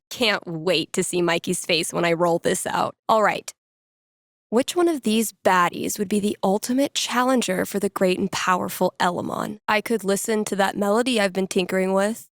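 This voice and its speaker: A woman's voice: mid-range voice, dry recording, lightly acted. A woman playing a wry young record store worker and nerdy musician in a video game, talking casually to herself with dry sarcasm. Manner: casual, dryly sarcastic, with sighs and playful asides. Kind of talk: video game character thinking aloud.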